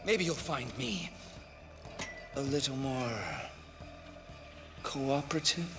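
An actor voicing a flamboyant, sadistic male villain in a video game, lewd and taunting, with drawn-out words. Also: medium pitch, over music, close to an everyday voice. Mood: threatening, coaxing. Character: leering, sadistic, flamboyant male psychopath in fetish gear. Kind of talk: video game cutscene acting. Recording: long hall reverb.

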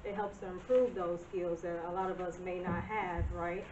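A woman's voice: fairly low pitch, steady tone, noisy background. Nervous woman presenting at a board meeting. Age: 30s. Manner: nervous, halting, laughs at herself. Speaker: business presenter. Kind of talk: public comment at a meeting. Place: village board meeting room. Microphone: far camera. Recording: distant roomy lo-fi meeting video.

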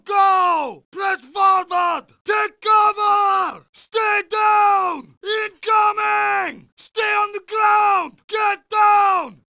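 Russian soldier, war video game, Russian accent, forceful strained shouts, urgent, earnest, short battle calls, dry close sound. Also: male voice, extremely high pitch, vocal fry, no echo, exaggerated voice. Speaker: voice actor.

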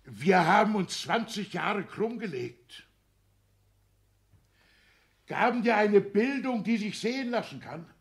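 An actor playing a blustering, grumbling middle-aged father, a self-righteous petty official, husky, in a 1960s German radio comedy. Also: sits high, expressive, slow pace, long pauses, heavily acted. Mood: indignant, emphatic. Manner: blustering, indignant.

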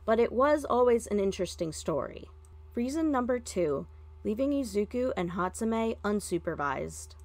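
Female narrator for fan fiction, lowish voice, dry recording. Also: no room sound. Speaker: fan fiction podfic reader. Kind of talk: scripted story narration.

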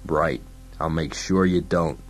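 A man voicing a stern, weary lawman in an audiobook, curt, gruff and deadpan, hard-boiled and unbending. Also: rumbling low, slow pace. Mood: commanding. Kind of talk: dramatised audiobook science-fiction dialogue.

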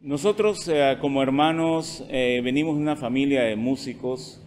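A middle-aged musician speaking plainly and calmly in an outdoor interview. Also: male voice, medium pitch, normal speed, faint music behind. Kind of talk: interview testimony. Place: outdoor terrace by a park.

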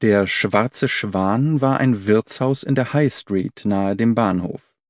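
A man's voice: fairly low pitch, slow pace, dry recording, clean studio sound. A man reading literary prose as a scripted audiobook narration.

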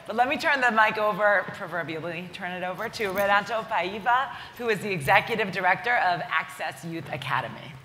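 A woman's voice: mid-range voice, animated, a little fast, few pauses, pushed and bright, a little hiss or hum. A woman moderating a conference panel, playful and joking. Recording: echoey conference hall reverb.

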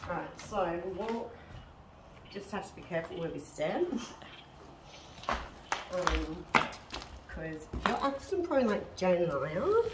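A woman in her thirties hosting a paranormal investigation, chatting casually and calling out questions to spirits in halting phrases. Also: lowish voice, very animated. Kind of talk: casual talk while ghost hunting, questioning spirits aloud. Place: derelict brick building and its grounds at night. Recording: echoey, noisy, distant camera microphone.

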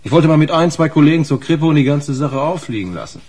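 An actor playing a gruff, wary truck driver in a radio crime drama, talking in blunt, colloquial slang. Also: male voice, fairly high pitch, expressive, fast, darkish tone. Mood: sour, grumbling.

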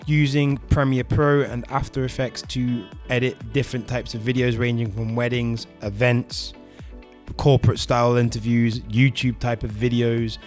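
A man's voice: mid-range voice, flat delivery, moderate pace, a little mellow, faint music behind. A man in his thirties, hosting a casual tech vlog in an enthusiastic, chatty way from a home studio on a close desk microphone. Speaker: tech YouTuber host. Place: home studio room with colored lights.

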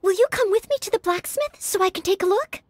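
Anime game maid-knight: young, very high and lilting, courteous, earnest, easily anxious. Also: female voice, lively intonation, quick pace, no room sound. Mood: curious, earnest. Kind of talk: anime-style video game dialogue.

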